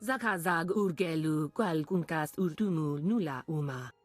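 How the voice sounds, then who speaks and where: Shady alien vendor, very deep female voice, dry recording, video game underworld dialogue. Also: normal speed, dry recording, soft background music. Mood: dealing. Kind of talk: video game voice acting in invented alien tongue.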